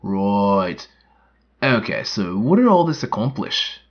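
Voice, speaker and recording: A man's voice: fairly low pitch, very animated, slow, husky, full character voice. A man playing a blunt, skeptical military commander in scripted comic dialogue, questioning everything with disbelief, through a dull, narrow sound. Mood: puzzled, blunt. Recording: dull, band-limited, filtered-sounding voice.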